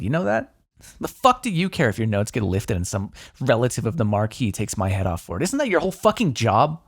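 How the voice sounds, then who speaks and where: Male vampire, played by a man for ASMR roleplay: sardonic, secretly fond, very animated pitch, creaky low edge. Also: dry recording, clean studio sound, full character voice. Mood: hurt, indignant. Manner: sardonic, conversational, teasing, with sighs and swearing. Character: smug, teasing male vampire, wry and grudgingly fond. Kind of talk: intimate ASMR roleplay monologue.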